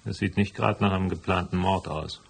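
An actor playing a dry, laconic, world-weary private detective in a radio drama, with a gravelly voice. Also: male voice, deep voice, steady tone, brisk, heavily acted. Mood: dry, guarded. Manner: laconic, sardonic. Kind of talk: radio drama dialogue and first-person narration.

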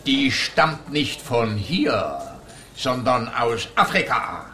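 A man playing a pompous, sarcastic, slow-witted police detective in a comic radio play, speaking officiously and incredulously. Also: medium pitch, big pitch swings, sharp tone, slight background noise, full character voice. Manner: incredulous, officious, dramatically drawn-out phrasing.

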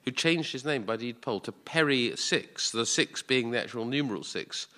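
A man, a comedy panelist telling stories with animated, mock-indignant banter. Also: mid-range voice, moderate pace.

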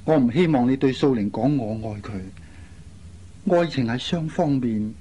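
A man's voice: medium pitch, moderate pace, warm tone, lightly acted. An actor playing a shy, honest, lovelorn young man in a Cantonese radio drama, speaking earnestly and with restraint. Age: young adult.

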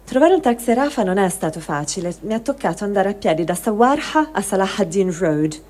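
A resigned displaced woman read by an actress tells a diary account. The voice sits at an average pitch, measured and sober, in the style of a dramatic literary reading. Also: sing-song, darkish tone. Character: displaced person recounting an evacuation, resigned. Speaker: reader of testimony.

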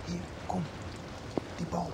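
A man's voice: takes it slowly, very noisy, natural voice. A man playing an earnest, determined young truth-seeker in a radio soap. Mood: urgent, determined. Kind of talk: 1990s radio soap acting.

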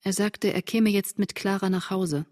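An actress playing a reflective woman reading from her wartime diary in a measured, intimate literary radio drama delivery, with a slightly husky voice. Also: medium pitch, fairly even intonation, a little mellow, natural voice. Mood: matter-of-fact.

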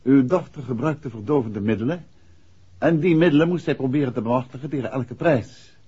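An actor playing a shrewd, assured gentleman detective in a 1960s Dutch radio play, with a deliberate, probing delivery. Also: medium pitch, husky. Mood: probing, insistent. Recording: dull, muffled vintage radio recording.

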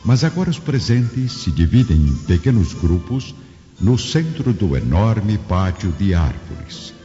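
A man narrates a radio drama solemnly. His voice is deep and mellow, delivered theatrically in long reverb over music. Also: sing-song, a little slow, dull audio.

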